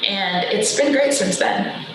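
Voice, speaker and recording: A young woman, a software engineer and guest, explaining things in a casual interview with frequent "um" fillers; her home language is Hindi. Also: mid-range voice, animated, takes it slowly, echoey room, slight background noise, poor audio. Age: young adult.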